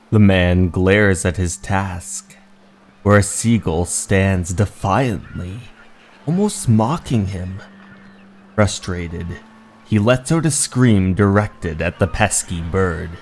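A man narrating a scripted horror story in a measured, theatrical, dramatic way. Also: sits low, darkish tone, slight background noise. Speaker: narrator, channel host. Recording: music behind the voice.

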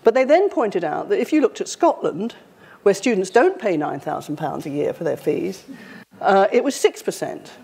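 A woman, giving a university public lecture in a conversational, wry way, her voice slightly croaky. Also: medium pitch, very animated, normal speed, dark tone. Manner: conversational, wry, with frequent fillers. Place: university lecture hall, indoors.

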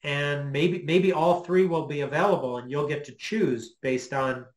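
Male, middle-aged. Slightly higher voice, informal lecturer, hesitant and halting delivery. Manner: hesitant, halting, thinking aloud. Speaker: guest lecturer. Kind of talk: informal explanatory lecture with questions. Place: small home office, indoors.

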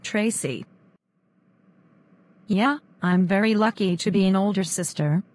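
A woman's voice: mid-range voice, unhurried, darkish tone. A woman playing a boastful red-haired big sister. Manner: boastful, teasing. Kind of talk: fan animation voice acting.

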